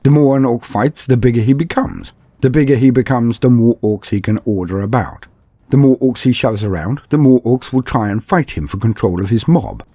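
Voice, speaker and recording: A man giving theatrical, measured scripted narration in the style of a fantasy storyteller. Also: medium pitch, warm tone, dry recording. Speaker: narrator of a fantasy lore guide.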